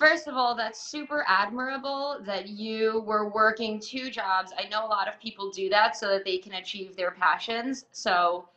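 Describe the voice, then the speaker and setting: A woman's voice: medium pitch, steady tone, moderate pace, hardly any pauses, bright, forceful tone, highs cut off. A woman hosting a live online interview, asking questions in an upbeat, encouraging way over a video call. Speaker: host.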